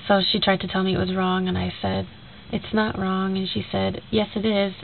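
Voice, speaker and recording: A woman's voice: medium pitch, completely flat delivery, moderate pace, vocal fry. A young woman with a stuffy, nasal voice from a cold, talking casually in a rambling video message to a friend and retelling a conversation with many fillers. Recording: tinny lo-fi recording with faint hiss.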